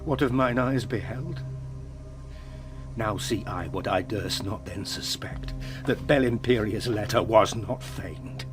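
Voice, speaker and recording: A man's voice: on the low side, takes it slowly, over music. An actor playing a middle-aged knight-marshal grieving for his son and seeking revenge, in impassioned, declamatory verse for a radio tragedy. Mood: shocked, vengeful. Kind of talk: Elizabethan tragedy radio acting.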